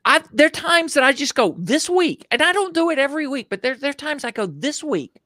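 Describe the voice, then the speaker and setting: A man with a high voice for a man, telling stories as an interview guest with emphatic, animated delivery and frequent restarts. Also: a little fast, no room sound. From United States. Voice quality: higher-pitched male voice. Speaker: podcast guest. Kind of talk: enthusiastic interview storytelling.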